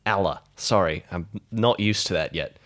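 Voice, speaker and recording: A man's voice: low voice, normal speed, a bit bright. A man playing in a tabletop roleplay with casual in-character banter. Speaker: player in a tabletop roleplay show.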